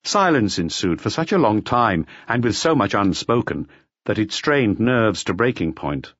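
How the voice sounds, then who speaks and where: A man's voice: lowish voice, very animated, moderate pace, a bit bright, no room sound, lo-fi sound. A man reading a mystery audiobook as a scripted narration. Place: close, dry recording.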